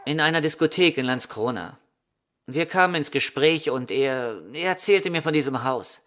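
A man's voice: on the high side, lively intonation, normal speed, fairly bright tone. A man playing a polite, open young man with burdens, speaking plainly in a crime radio play. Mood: earnest.

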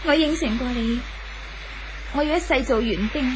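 A woman playing a gentle, devoted sweetheart in a 1970s radio drama, earnest and conciliatory, with a Hong Kong Cantonese accent. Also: high-pitched, normal speed, close to an everyday voice. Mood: tender, hopeful. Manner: soft-hearted, pleading, earnest. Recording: noisy old radio drama recording.

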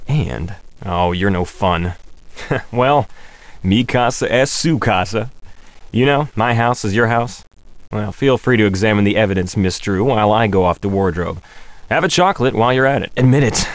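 An actor playing a vain, smooth-talking soap opera heartthrob in a video game, with a cocky, teasing, flirtatious manner. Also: male voice, fairly low pitch, no room sound. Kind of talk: video game character dialogue.

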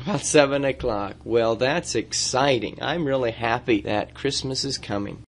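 Man chatting gently with children. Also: medium pitch, normal speed. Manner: encouraging, simple questions. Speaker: adult interviewing children.

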